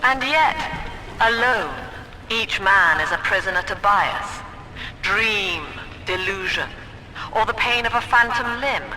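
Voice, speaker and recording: A slow, coldly gentle psychiatrist. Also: female voice, mid-range voice, a little hiss or hum. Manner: measured, soft-spoken, controlled and coldly gentle. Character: calm, cold middle-aged woman psychiatrist and cult leader. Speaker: game character. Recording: reverberant with music behind.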